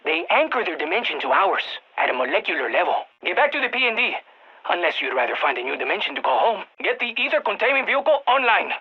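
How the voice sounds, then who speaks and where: A woman voicing an eager, geeky field scientist in a video game, with a Latin American Spanish accent, talking over radio comms in an expressive, quirky way. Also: mid-range voice, fast talker, husky, muffled recording. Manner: expressive, quirky, nerdy enthusiasm. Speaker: mission guide scientist.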